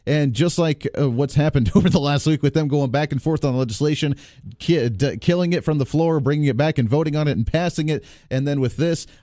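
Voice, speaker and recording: A man's voice: a little high, a little fast, dark tone, no room sound, studio quality. A man hosting a political call-in radio show, talking in an emphatic, persuasive way that rallies his listeners. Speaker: radio talk show host. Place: radio studio. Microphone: close studio microphone.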